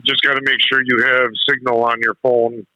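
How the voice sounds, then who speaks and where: A man calling in to a radio show, talking casually in halting phrases with pauses. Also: medium pitch, flat delivery, bright, forceful tone, dry recording, highs cut off. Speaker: radio show caller, truck driver. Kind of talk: casual phone-in chat.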